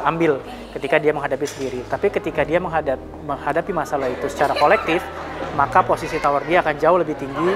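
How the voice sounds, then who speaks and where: A man giving an earnest interview statement about organizing, explaining steadily with small restarts. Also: fairly high pitch. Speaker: union chair speaking at panel.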